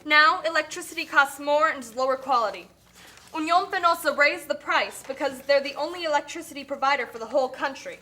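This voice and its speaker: A woman's voice: sits high, fairly quick, pushed and bright. A woman playing a struggling, outspoken Nicaraguan working mother in a scripted puppet show. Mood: indignant.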